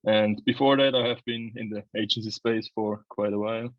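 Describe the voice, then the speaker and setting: A man's voice: on the low side, steady tone, fairly quick, dry recording, highs cut off. A man in his early twenties from Switzerland, chatting casually as an interview guest about his own experience. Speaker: interview guest, videographer and agency owner. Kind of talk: casual chat, telling own story.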